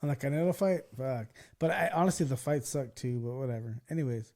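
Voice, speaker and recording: A man's voice: medium pitch, fairly quick, mellow. A man hosting a podcast, chatting casually into a studio mic, laid-back, cursing and laughing with his guests. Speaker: podcast host. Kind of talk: casual chat.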